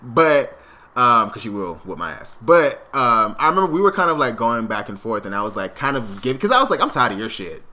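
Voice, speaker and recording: Animated man, bright lively voice. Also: mid-range voice, fairly quick. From Jamaican family. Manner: animated, laughs often mid-sentence. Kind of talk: storytelling casual chat.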